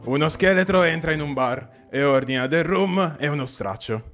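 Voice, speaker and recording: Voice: medium pitch, normal speed, a bit bright, soft background music. A player in a gaming roleplay, teasing and exclaiming in playful banter.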